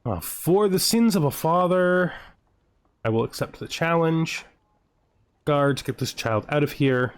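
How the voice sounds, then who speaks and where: A man's voice: fairly high pitch, expressive, a little slow, pauses often, clear, resonant tone, pitched above the performer's own voice. A man voicing a haughty, bloodthirsty male vampire lord in a video game, imperious and boastful. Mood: haughty, menacing.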